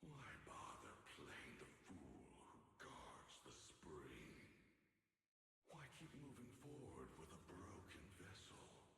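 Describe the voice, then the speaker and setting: Weary guardian warrior, video game, grave, very low, heavy reverb over noisy ambience. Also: male voice, wildly swooping pitch, creaky, full character voice. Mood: brooding. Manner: grave, deliberate, formal. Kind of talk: dramatic video game voice acting.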